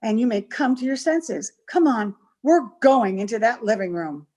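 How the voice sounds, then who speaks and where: A woman's voice: fairly high pitch, expressive, moderate pace. An actress playing a domineering, hard-headed, scornful woman, bossy and impatient, in the style of a 1930s radio horror play. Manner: bossy, sharp-tongued, impatient.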